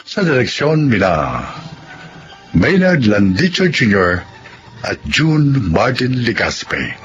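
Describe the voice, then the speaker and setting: A man's voice: medium pitch, sing-song, slow, fairly bright tone. A Filipino man announcing a radio drama in a scripted, formal read. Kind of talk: scripted announcing of closing credits. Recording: dull lo-fi sound under clear music.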